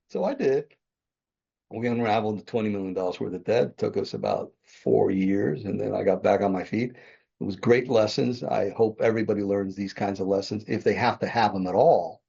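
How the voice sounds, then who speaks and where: A man's voice: lowish voice, creaky, mellow, husky. A man in his sixties, a podcast guest, telling his business story in a rambling, self-correcting way and chuckling often. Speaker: podcast guest, real estate developer. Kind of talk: conversational interview storytelling. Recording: dull lo-fi podcast audio.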